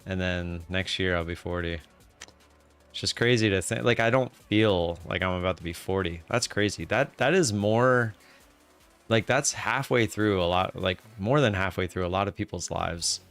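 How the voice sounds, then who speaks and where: A man in his late thirties with a fairly low, clean and resonant voice hosts a live stream. He rambles in a relaxed way and reads viewer comments aloud. His talk is full of "uh" fillers and restarted sentences. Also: normal speed, clean tone, faint music behind. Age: 30s.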